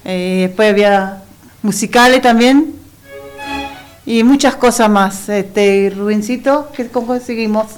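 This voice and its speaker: A woman's voice: medium pitch, a little slow. A woman hosts a community radio programme in a casual way. Her delivery halts now and then as she looks for the next word. Music plays behind her. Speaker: radio co-host.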